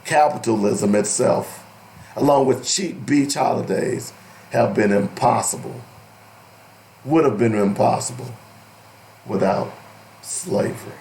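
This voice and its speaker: A man hosting an opinionated radio talk show, deliberate and emphatic, with a strained, cracking voice that is giving out. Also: mid-range voice, darkish tone, poor audio. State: losing his voice. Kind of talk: opinionated radio talk, partly reading aloud.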